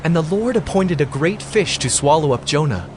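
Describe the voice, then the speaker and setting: Lively, higher-pitched male scripture narrator. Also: normal speed, background noise.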